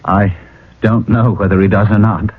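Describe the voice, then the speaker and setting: A man's voice: fairly low pitch, level tone, moderate pace, husky. An actor playing an earnest, concerned young man in a radio drama. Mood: subdued. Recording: muffled, noisy old radio broadcast.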